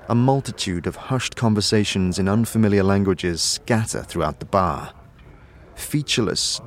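A man reading scripted, second-person fantasy narration as the narrator of an audio drama. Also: fairly low pitch.

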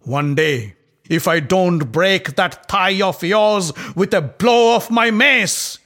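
A man voicing a mighty young warrior who shouts furious threats. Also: high-pitched, slow pace, pitched above the performer's own voice. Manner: roaring, threatening.

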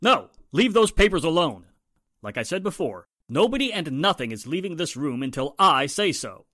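An actor playing a tough, authoritative police inspector in a 1940s-style radio drama, gruff and commanding. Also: male voice, a little high, sing-song, moderate pace, bright, forceful tone, no room sound, studio quality, exaggerated voice. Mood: stern.

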